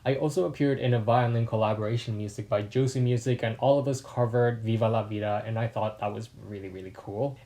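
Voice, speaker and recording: A man in his early twenties who grew up in Mexico with Spanish as his first language, chatting casually in a facts vlog with relaxed, self-deprecating asides. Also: medium pitch. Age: 20s. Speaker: YouTuber.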